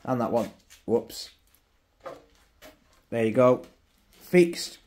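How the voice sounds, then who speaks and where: A man's voice: medium pitch, lively intonation, very slow, a little mellow. A middle-aged man with a West Midlands English accent, chatting casually and rambling as he films on a handheld phone while walking through a house under renovation. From West Midlands, England. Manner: chatty, rambling, self-correcting with many fillers. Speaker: electronics repair YouTuber. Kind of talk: casual walkthrough vlog. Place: unfinished house under renovation, indoors and garden. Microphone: handheld phone camera, filming as he walks.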